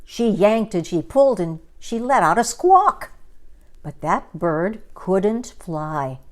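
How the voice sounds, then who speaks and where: Woman storyteller, takes her time, dramatic kids story reading, medium pitch. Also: very animated. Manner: dramatic, deliberate. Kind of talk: expressive children's story reading.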